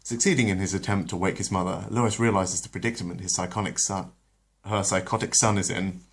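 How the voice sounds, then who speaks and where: A very deep-voiced man reads a retold story in a relaxed storybook manner. When he steps off the script, he talks casually and hesitantly, with many pauses. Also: husky. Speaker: storyteller, channel host. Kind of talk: reading a retold episode aloud, then casual chat.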